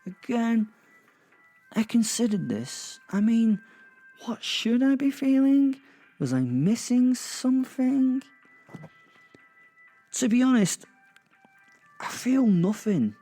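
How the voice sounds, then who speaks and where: A remorseless werewolf, played by a man, narrates a dark audio drama in the first person. The voice is dark and mellow, wry and cocky, musing deliberately over faint music. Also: extremely high pitch, big pitch swings, exaggerated voice. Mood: amused, callous. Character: remorseless male werewolf killer, wry, cocky, centuries old. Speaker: narrator and protagonist.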